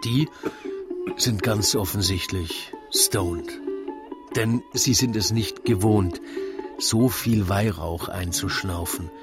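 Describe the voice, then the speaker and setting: Slow-paced male narrator over music. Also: on the low side. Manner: wry, theatrical storytelling. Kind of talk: scripted rhymed comic narration.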